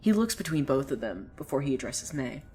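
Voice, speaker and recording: A low-pitched actress as a female narrator spins a small-town tale for an audio drama. She is sardonic and opinionated, chatting to the listener as if passing on gossip. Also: big pitch swings, fairly quick, dark tone, a little hiss or hum. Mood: wry, suspenseful. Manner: sardonic, conversational, swearing asides. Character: opinionated, sardonic female storyteller who gossips about townsfolk. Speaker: narrator of a fiction podcast. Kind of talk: dramatic first-person storytelling.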